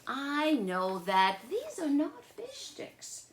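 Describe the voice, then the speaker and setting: A woman voicing a persuasive, easily astonished boy, a storybook big brother, in an emphatic, drawn-out way. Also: sits high, wildly swooping pitch, slight background noise, voice pushed up, full character voice.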